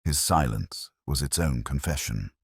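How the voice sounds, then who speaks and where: A man reading a scripted first-person detective story in a measured, brooding, reflective way. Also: extremely low pitch, expressive, husky, no echo, studio quality. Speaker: narrator.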